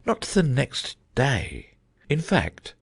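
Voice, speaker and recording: A man voicing a solemn, dreamy old knight who rambles about his inventions, in a husky voice. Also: normal speed, exaggerated voice. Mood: musing. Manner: rambling, thoughtful, lively pitch swings.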